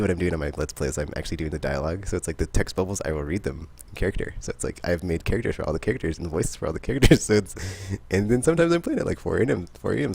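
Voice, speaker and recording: A man hosting a podcast, chatting about games in a rambling, excitable way. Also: deep voice, vocal fry, husky. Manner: rambling, excitable, laughs often. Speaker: podcast host. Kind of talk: casual gaming chat.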